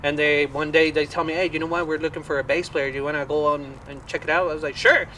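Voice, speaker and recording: Bright-voiced young man. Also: a little high, quick pace, recorded from a distance, a little hiss or hum, rough recording. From Colombia. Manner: animated, laughing, swearing casually. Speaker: bass player and band guest. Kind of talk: casual storytelling chat.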